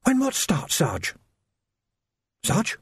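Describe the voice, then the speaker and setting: A man's voice: high-pitched, huge pitch swings, extremely slow, slightly rough, no echo, studio quality, voice pushed up, heavily acted. A man voicing an eager, naive young police constable in an audiobook, earnest, anxious and deferential. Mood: puzzled.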